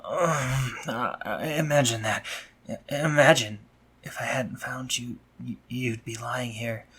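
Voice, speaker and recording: A man playing an anxious, awkwardly tender spider-monster medic in a scripted romantic audio roleplay, speaking formally and haltingly with a breathy, slightly husky voice. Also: mid-range voice, a bit bright, slight background noise. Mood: shaken, guilty. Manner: formal, stammering, halting when flustered.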